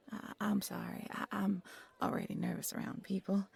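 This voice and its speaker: A young woman playing a shy, flustered bunny-girl receptionist in an audio roleplay, speaking in a soft, breathy voice with a nervous, apologetic stammer. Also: mid-range voice, full character voice. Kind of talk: audio roleplay character acting.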